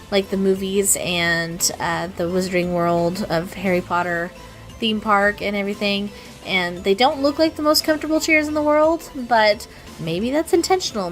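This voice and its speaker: Young woman, mid-pitched, raspy and stuffy from a cold, rambling casual voiceover with soft music behind. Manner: rambling, self-deprecating, lots of ums and restarts. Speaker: artist narrating her speedpaint.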